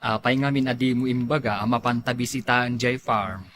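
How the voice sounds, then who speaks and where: Guy playing fretful ilocano husband, medium pitch voice, keeps questioning, comedy drama. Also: steady tone, a little fast, fairly bright tone, a little hiss or hum, natural voice. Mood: casual. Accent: Ilocano, northern Philippines.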